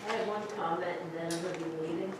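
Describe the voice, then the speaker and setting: A woman on the council speaks softly and curtly. She is caught far from the mic in a very echoey room with audible background noise. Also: lowish voice, steady tone, racing. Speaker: council member. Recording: very echoey, distant, noisy room.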